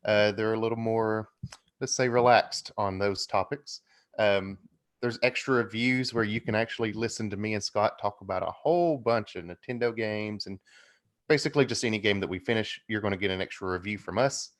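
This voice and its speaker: A man's voice: fairly low pitch, fairly quick. A man chatting casually as a guest co-host on a podcast. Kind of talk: casual chat.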